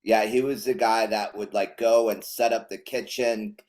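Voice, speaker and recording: Man from Chile, podcast guest, low voice, easygoing, rambling stories, casual chat. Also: fairly even intonation, normal speed, darkish tone.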